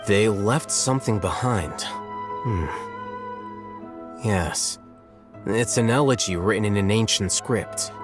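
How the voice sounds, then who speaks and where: A man voicing a calm, dry-witted scholar who teases his companions in a game cutscene. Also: on the low side, takes it slowly, pauses often, over music. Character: calm, wry male scholar.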